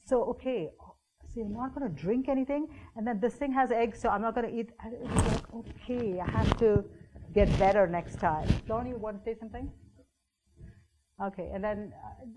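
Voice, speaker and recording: A woman's voice: a little high, noisy background. A middle-aged woman from India giving an informal workshop talk, conversational, with lots of fillers and acted-out quotes. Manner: conversational, many fillers and restarts, acts out quoted voices. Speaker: workshop presenter. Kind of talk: informal presentation to an audience.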